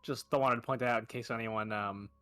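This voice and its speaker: A man's voice: mid-range voice, steady tone, a little fast, bright, forceful tone, clear, resonant tone, faint music behind. A man doing a comic read-aloud of fan fiction, mixed with casual banter on a stream.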